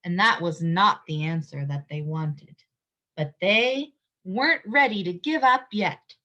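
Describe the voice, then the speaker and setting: A woman's voice: lowish voice, a little slow, pushed and bright, lo-fi sound. A woman reading a picture book aloud to young children, explaining things simply and emphatically and asking her listeners questions.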